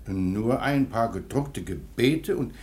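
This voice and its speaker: A man's voice: lowish voice, lively intonation, takes it slowly, darkish tone, reverberant. An actor playing a dutiful, deferential man assisting the police in a period radio drama, matter-of-fact in manner. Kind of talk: German radio drama acting.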